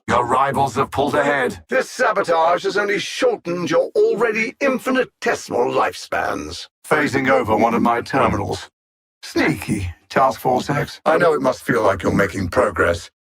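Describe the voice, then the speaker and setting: A cold, haughty alien machine intellect from a video game. The voice is mid-pitched for a male, heavy with gravelly creak and a husky rasp. Each formal, exact phrase swings widely in pitch, full of theatrical contempt for lesser beings. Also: a bit bright, exaggerated voice. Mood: cool mockery. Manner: condescending, theatrical, precise and formal diction. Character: cold, arrogant alien machine-mind villain bent on conquest. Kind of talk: scripted video game villain voice acting.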